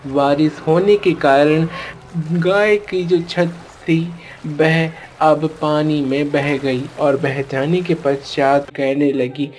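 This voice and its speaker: Slow-paced woman storyteller. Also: low-pitched, fairly even intonation, darkish tone, slight background noise, rough recording. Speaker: narrator. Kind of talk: cartoon storytelling narration.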